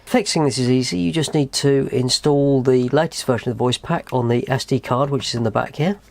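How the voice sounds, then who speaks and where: A man's voice: medium pitch, fairly quick, vocal fry. A man presenting a how-to tutorial in a methodical, explanatory way. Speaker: host of a tutorial channel.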